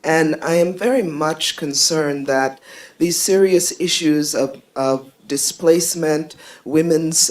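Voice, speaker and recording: Earnest man commenting from the floor. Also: fairly high pitch. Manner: earnest, measured, insistent. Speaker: audience member, educator. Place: conference hall.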